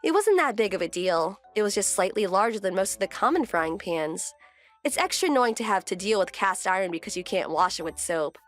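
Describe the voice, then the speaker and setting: A woman's voice: medium pitch, lively intonation, brisk, soft background music, close to an everyday voice. A woman playing a composed, wry wife who narrates her domestic story in a scripted manga dub. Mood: calm.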